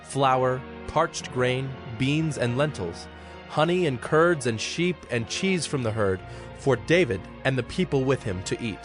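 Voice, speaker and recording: A male narrator reads scripture in a medium-pitched voice. His delivery is solemn and measured, and music plays clearly underneath throughout. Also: lively intonation.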